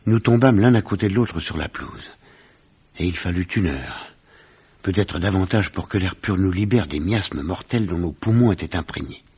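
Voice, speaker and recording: An actor playing a grave gentleman narrator who recounts horror in measured, literary French radio drama style. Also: low-pitched, animated, husky, heavily acted. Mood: dread, strained. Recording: dull, noisy old radio recording.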